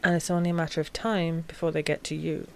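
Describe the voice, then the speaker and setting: A woman playing a polite, frazzled vampire coven leader in an audio roleplay, speaking formally with halting hesitations. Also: low-pitched, little pitch movement, creaky, a little mellow, slight background noise. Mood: grave, uneasy. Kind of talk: scripted audio roleplay drama.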